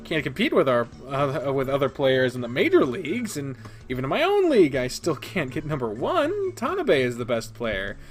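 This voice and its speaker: A man's voice: medium pitch, wildly swooping pitch, normal speed, hardly any pauses, soft background music. A man playing a polite, modest young male athlete who talks hesitantly and wryly. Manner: modest, hesitant, dryly wry.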